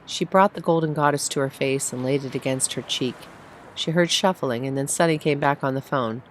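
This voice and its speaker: A woman's voice: very deep voice, moderate pace, low-quality recording. A woman reading a short story aloud as a scripted narrator. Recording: faint background noise.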